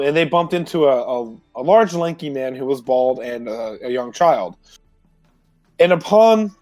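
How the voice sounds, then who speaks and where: A man informally recapping a previous tabletop roleplay session as a player, in a halting, self-correcting way. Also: on the high side, a little mellow, no echo. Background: music.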